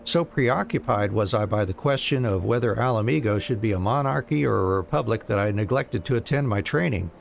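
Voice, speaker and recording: A man's voice: on the low side, a little fast, vocal fry, hardly any pauses, a little hiss or hum. A man voicing a bookish, absent-minded young rebel recruit in a fantasy game, wordy and apologetic. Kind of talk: reading fantasy game dialogue aloud.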